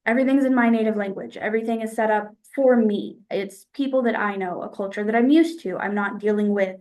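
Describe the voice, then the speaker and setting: A woman's voice: mid-range voice, darkish tone. Young American woman answering thoughtfully. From United States. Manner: thoughtful, hesitant, with fillers. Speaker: interviewee. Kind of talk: casual answers in an interview.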